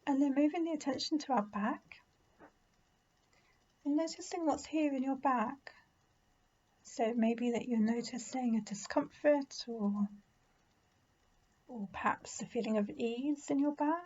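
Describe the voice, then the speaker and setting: A woman leading a guided meditation in a gentle, soothing, unhurried manner with pauses. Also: high-pitched, highs cut off. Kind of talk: guided body scan meditation.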